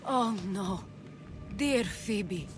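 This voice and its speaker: A woman's voice: mid-range voice, lively intonation, very slow, over music. A woman playing a composed lady of ancient Athens in a dramatic video game scene. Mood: sorrowful, consoling.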